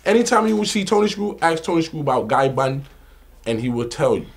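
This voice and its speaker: A man's voice: on the high side, sing-song, fairly quick. A man from Bushwick who is a reggae MC, talking casually in an interview with a boastful, streetwise manner that repeats his points. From Bushwick, New York. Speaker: reggae MC for a sound system.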